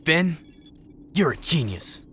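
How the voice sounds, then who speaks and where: A man's voice: fairly high pitch, sing-song, slow pace, a bit bright, slight background noise, exaggerated voice. A young man playing a blunt, confident rebel hero in a video game. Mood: coaxing. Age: young adult.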